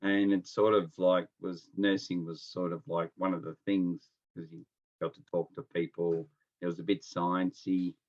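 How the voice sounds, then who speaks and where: A man's voice: low voice, little pitch movement, normal speed, lo-fi sound. A middle-aged Australian man from Melbourne chatting as an interview guest, telling stories in a laid-back, colloquial way. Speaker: interview guest, experienced nurse. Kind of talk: casual anecdotal chat. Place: at home, on a remote call.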